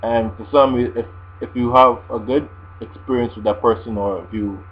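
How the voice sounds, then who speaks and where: A man's voice: medium pitch, fairly even intonation, moderate pace. A man giving casual, explanatory advice to viewers in a language-learning video blog, often repeating his phrases as he goes. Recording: dull lo-fi sound with mains hum.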